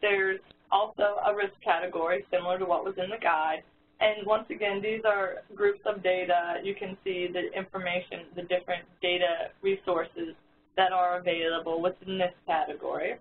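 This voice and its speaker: A woman's voice: fairly low pitch, fairly even intonation, normal speed. A woman giving a step-by-step walkthrough presentation on a conference call. She often restarts her sentences and uses fillers as she explains. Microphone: phone or conference line. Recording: tinny conference-call phone line with faint noise.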